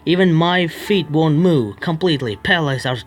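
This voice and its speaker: A man streaming a horror visual novel, reading its text aloud haltingly, stumbling over words and breaking off to complain at the game. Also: a little high, animated. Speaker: gaming streamer.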